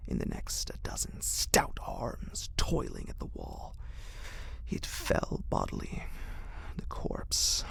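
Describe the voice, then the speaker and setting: A man reading a horror story as whispered ASMR, theatrical and deliberate, with a breathy, husky voice close to the microphone. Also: on the low side, a bit bright. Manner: theatrical, deliberate phrasing with dramatic swells.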